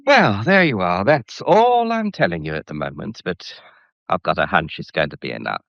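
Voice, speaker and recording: A smug man in a radio drama, low-voiced and swooping as he taunts his listeners. He draws his words out with theatrical mockery, in a dry, slightly dull recording. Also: big pitch swings, creaky, no echo, heavily acted. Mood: smug, commanding. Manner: theatrical, drawn-out, mocking. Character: smug, taunting man relishing his hold over others.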